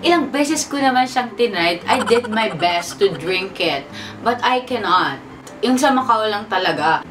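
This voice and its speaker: A woman's voice: medium pitch, expressive, moderate pace, music in the background. A woman in her twenties with a Filipino accent, chatting playfully in a sit-down question-and-answer vlog, mixing English and Tagalog. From Philippines. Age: 20s. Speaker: vlogger. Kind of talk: casual sit-down Q&A chat. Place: at home. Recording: distant mic with background noise.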